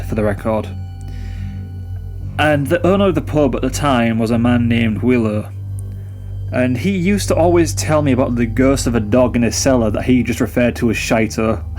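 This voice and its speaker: A man's voice: mid-range voice, a little mellow. Young man telling ghost stories over music. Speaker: storyteller. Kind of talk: personal ghost story narration, conversational. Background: background music.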